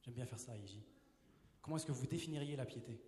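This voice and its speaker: A man's voice: medium pitch, quick pace, dark tone. A man in his twenties, a youth preacher, giving a casual, joking sermon on a handheld mic and asking the crowd questions. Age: 20s. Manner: playful, chatty, asks the crowd questions. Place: large hall with a live audience. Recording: roomy hall reverb.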